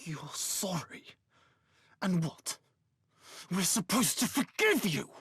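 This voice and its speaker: A man's voice: high-pitched, very animated, slow pace, fairly bright tone, slightly rough, no echo, studio quality, exaggerated voice. A man playing a bitter young villager in a video game, intense and grudging. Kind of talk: dramatic game acting.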